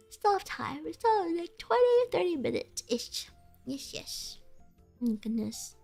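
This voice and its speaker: A woman's voice: extremely high pitch, very animated, a little slow, vocal fry, darkish tone, soft background music. A young woman streaming and chatting casually with her viewers, bubbly and giggly, full of "like" fillers, with a stuffy, sniffly nose.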